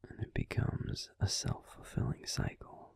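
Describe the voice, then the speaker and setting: A man voicing a grumpy young werewolf boyfriend in an ASMR roleplay, talking in a soft, soothing, breathy whisper close to the mic. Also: unhurried, lightly acted.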